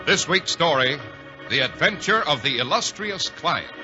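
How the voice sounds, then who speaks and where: A man's voice: a little high, expressive, measured pace, over loud music. An American man announcing and hosting in 1940s radio style, with polished, emphatic salesmanship. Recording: dull 1940s radio recording.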